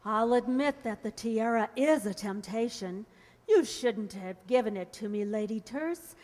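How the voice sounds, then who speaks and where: A woman's voice: on the high side, measured pace, darkish tone, close to an everyday voice. A woman playing a sweet, flighty young aristocratic bride in an amateur radio play. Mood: sweet, flustered. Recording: reverberant hall-like radio play recording.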